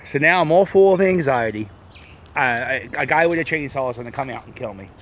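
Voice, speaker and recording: A man's voice: medium pitch, expressive, moderate pace, fairly bright tone, dull audio, slight background noise. A man in his thirties from Philadelphia, vlogging while walking through a wooded park, talking to himself in an anxious, joking ramble into a phone held at arm's length. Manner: anxious rambling self-talk, jokey. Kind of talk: walking selfie vlog.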